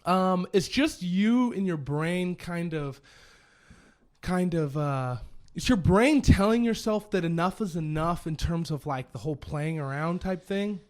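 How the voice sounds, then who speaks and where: A man in his thirties, a business owner guest telling his story in a casual, animated way and often repeating phrases. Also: high-pitched, unhurried. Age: 30s. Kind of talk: casual conversation.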